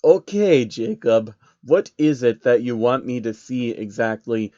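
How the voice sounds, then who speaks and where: Guy doing a bossy scheming old billionaire for a story, measured, slightly muffled mic. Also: medium pitch, mellow, dry recording, close to an everyday voice. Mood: curious, commanding. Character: scheming, imperious elderly billionaire puppeteer.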